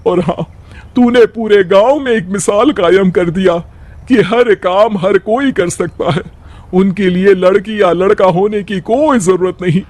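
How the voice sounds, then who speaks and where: A man's voice: high-pitched, unhurried, a little mellow, dry recording. A man voicing a worried, caring older village mother-in-law, fretful and affectionate.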